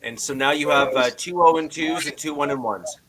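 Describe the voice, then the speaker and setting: A man hosting a casual sports podcast over a video call, loud-voiced and loose, filling his sentences with "uh". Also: on the high side, brisk, poor audio. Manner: loose, filler-heavy, frequent "uh". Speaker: podcast host.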